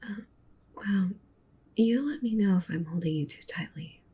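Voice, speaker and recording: A woman voicing a doting, possessive, dominant wolf witch girlfriend in an ASMR roleplay, softly coaxing and commanding in a breathy near-whisper. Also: animated, moderate pace, highs cut off. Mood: affectionate, teasing. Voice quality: soft, breathy whisper mixed with low murmur.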